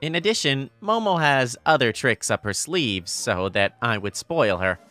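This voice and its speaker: A man's voice: mid-range voice, expressive, a little slow, over music, close to an everyday voice. A man playing an easygoing teenage boy narrating a romantic comedy manga story, explanatory and fondly exasperated. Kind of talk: scripted manga narration and dialogue.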